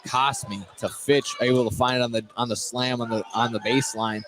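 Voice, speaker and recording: Male basketball play-by-play man, loud gym noise. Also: mid-range voice, steady tone, normal speed. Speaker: play-by-play sports announcer. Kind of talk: live high school basketball radio commentary. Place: indoor basketball gym, courtside. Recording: noisy live gym ambience. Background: loud game ambience.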